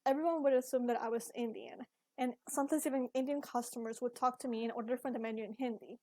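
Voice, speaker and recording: A young woman from Ecuador, a Spanish speaker with an Ecuadorian accent, giving an earnest, measured talk about her own life as a university student. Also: on the high side, steady tone, mellow, dry recording. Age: young adult. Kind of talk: scripted personal video essay.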